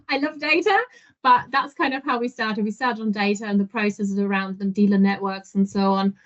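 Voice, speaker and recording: A woman guest on a podcast interview, telling her stories in a lively way and often laughing. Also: mid-range voice, moderate pace. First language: not French. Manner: animated storyteller, laughs often. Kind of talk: casual interview. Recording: dull lo-fi audio.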